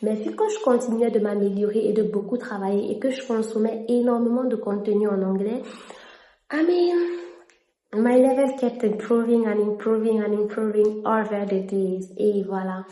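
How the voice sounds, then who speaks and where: A woman's voice: medium pitch, moderate pace, mellow. A young woman from Cameroon, a French native speaker, telling her story to camera in a casual vlog and slipping into English mid-sentence. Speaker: vlogger and interpreter. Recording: echoey room.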